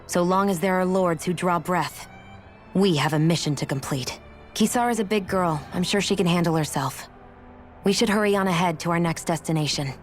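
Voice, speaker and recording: A woman's voice: lowish voice, normal speed, close to an everyday voice. An actress playing a serious young woman warrior in a video game, frank and matter-of-fact. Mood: brisk, determined. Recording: game dialogue over music.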